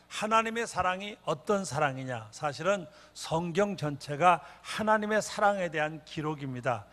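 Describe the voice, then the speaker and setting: An older retired pastor, born in North Korea, preaching a revival sermon in a church with repeated rhetorical questions. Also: male voice, fairly high pitch, a bit bright. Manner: sings a hymn, then preaches with repetitive rhetorical questions. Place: church sanctuary. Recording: long church reverb.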